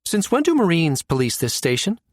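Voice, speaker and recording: A man's voice: fairly high pitch, huge pitch swings, brisk, no room sound, clean studio sound, pitched above the performer's own voice. A man voicing an insistent, challenging female navy officer in a science fiction audiobook, pressing with questions. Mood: probing. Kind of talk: audiobook character dialogue.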